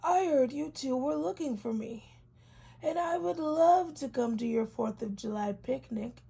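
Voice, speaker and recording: A woman voicing a gentle, grateful male turtle neighbor in a children's storybook, with mild, courteous phrasing. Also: high-pitched, unhurried, vocal fry. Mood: gentle gratitude.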